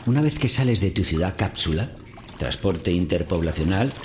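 An actor with a Castilian Spanish accent playing a world-weary middle-aged seaweed farm worker in conversational radio drama. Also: male voice, deep voice, animated, moderate pace, a little mellow. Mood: resigned, cynical. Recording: faint background noise and ambience.

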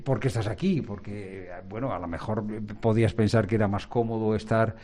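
A man's voice: lowish voice, steady tone, fairly quick, warm tone. A man interviewing people on location as a reporter, chatty and probing.

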